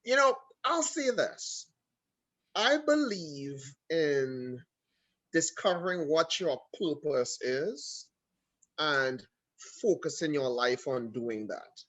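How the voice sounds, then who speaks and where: A man from Trinidad and Tobago with a Trinidadian accent, telling his story as a guest in a casual video call interview, animated and full of quick asides. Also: a little high.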